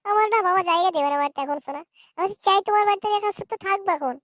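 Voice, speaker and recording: A woman's voice: very high voice, racing, a bit bright, dry recording, clean studio sound. A woman voicing a cheeky, foul-mouthed, lovelorn young son in a comedy cartoon, brash, quarrelsome and whining. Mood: pleading, wheedling.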